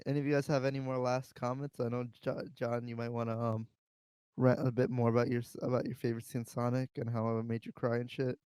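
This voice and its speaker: Male podcast host, mid-pitched, slangy and profane, chatting casually in a dry recording. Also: little pitch movement, fast, a little mellow, smooth, no echo. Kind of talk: casual panel chat about games and films.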